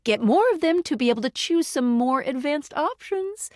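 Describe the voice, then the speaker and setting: A bubbly young female guide in a video game, high-voiced and swooping in pitch. She is friendly and quirky, with playful, slangy delivery, recorded close and dry. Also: lively intonation, normal speed, a little mellow, dry recording. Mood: cheerful explaining. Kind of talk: video game character voice lines.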